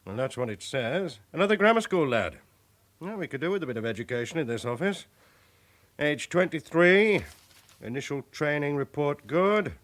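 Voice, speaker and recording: Stern, lively British police chief. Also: male voice, on the high side, moderate pace. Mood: brisk, commanding. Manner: authoritative, clipped, orderly delivery. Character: stern, brisk male police chief inspector, dryly commanding. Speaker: actor in a radio drama. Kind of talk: British radio drama acting.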